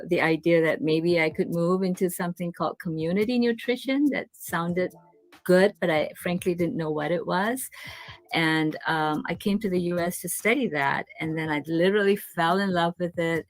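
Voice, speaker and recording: A woman from Singapore, a nutrition professor, answering interview questions thoughtfully and candidly, with frequent pauses. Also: fairly low pitch, no echo, rough recording.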